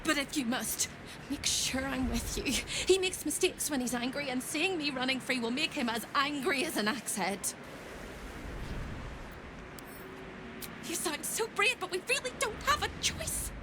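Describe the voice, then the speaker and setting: A woman's voice: high-pitched, sing-song, moderate pace, background noise. An actress playing a frightened, unhinged captive woman in a video game, chirpy and theatrical, with erratic delivery. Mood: urgent, frantic. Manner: theatrical, erratic, swinging from singsong to hushed. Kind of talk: video game character acting.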